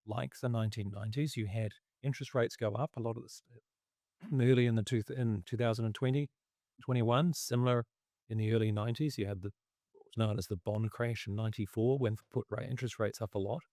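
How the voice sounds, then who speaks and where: A man, losing his voice, talking through markets in a casual, halting way and clearing his throat often. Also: mid-range voice, steady tone, warm tone, no echo, studio quality. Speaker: investment commentator.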